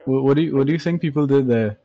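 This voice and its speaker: A man's voice: medium pitch, racing, no room sound, lo-fi sound. A tired man with a Trinidadian accent, talking animatedly and swearing in a casual podcast chat. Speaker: podcast co-host.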